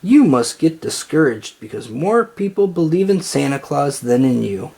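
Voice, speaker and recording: A reader voicing an earnest, lively little boy. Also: mid-range voice, normal speed, gravelly, breathy edge, exaggerated voice. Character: earnest young boy devoted to a pumpkin legend.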